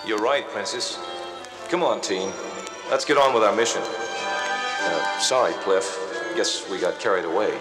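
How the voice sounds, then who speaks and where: A man's voice: fairly low pitch, a little slow, pauses often, lightly acted. Man acting a rebel soldier in echoing reverb. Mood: sheepish, resolved. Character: male rebel soldier, team leader, decent and apologetic. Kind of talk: children's read-along record dramatization. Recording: echoey cavern reverb, loud music.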